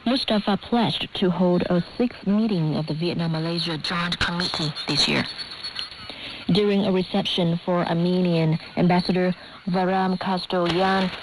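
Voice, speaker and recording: A woman reading a formal news bulletin in a crisp, scripted way, heard through a shortwave radio broadcast with static. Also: lowish voice. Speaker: newsreader.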